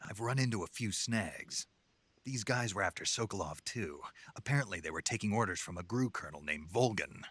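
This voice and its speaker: An actor playing a terse, gruff, battle-hardened American special forces agent in a video game, with a gravelly voice and calm delivery. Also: male voice, on the low side, brisk, dry recording. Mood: serious briefing. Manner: terse, matter-of-fact. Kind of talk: dramatic video game voice acting. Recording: cinematic music behind the voice. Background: game cutscene music.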